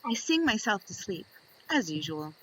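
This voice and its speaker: A woman reading aloud to young children in an expressive storytelling style, deliberate and fond of dramatic pauses. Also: mid-range voice, breathy edge, poor audio.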